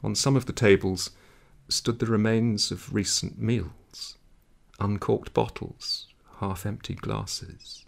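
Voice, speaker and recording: A man reading a literary story aloud in a measured, dramatic way, with a gravelly, husky voice. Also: lowish voice, steady tone. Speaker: audiobook reader.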